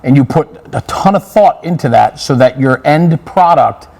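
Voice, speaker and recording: A man's voice: on the high side, expressive, moderate pace, gravelly, a little mellow. Male interviewer in a very reverberant space. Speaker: interviewer, host. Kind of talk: conversational interview with commentary.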